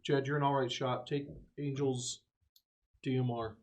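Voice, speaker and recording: A man's voice: medium pitch, level tone, unhurried, a little mellow. A man chatting casually and speaking in play as a player in a tabletop game.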